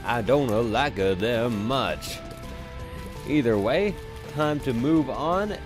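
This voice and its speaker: A man's voice: medium pitch, lively intonation, extremely slow, over music. A congested young man giving casual, rambling let's-play commentary and cursing now and then. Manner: rambling, chatty, swears casually. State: stuffy, congested. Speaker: gameplay commentator.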